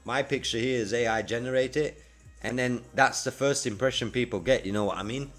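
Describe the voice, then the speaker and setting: A man around forty streams live with music playing faintly behind him. He chats casually to his viewers, using plenty of slang and keeping an easygoing manner. His pitch sits in an ordinary middle range. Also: brisk, fairly bright tone. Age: 40s. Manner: laid-back, slangy. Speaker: livestreamer and freelance web developer. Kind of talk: casual livestream chat with viewers.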